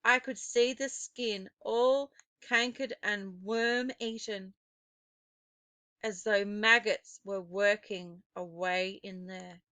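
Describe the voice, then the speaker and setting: Bright-voiced woman reading a story dramatically. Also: medium pitch, dry recording. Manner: expressive, deliberate, suspenseful phrasing. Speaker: teacher reading a children's novel aloud.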